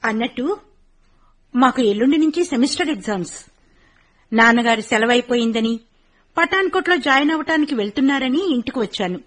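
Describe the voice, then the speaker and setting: A woman's voice: on the high side, expressive, fairly quick, pauses often. An actress in her twenties playing the bossy elder daughter, a postgraduate student, in a radio play. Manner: bossy big-sister tone. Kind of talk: radio drama acting.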